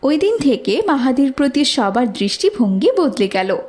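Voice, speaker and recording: A woman's voice: high-pitched, sing-song, moderate pace, a little mellow. A woman working as narrator, reading a scripted moral tale.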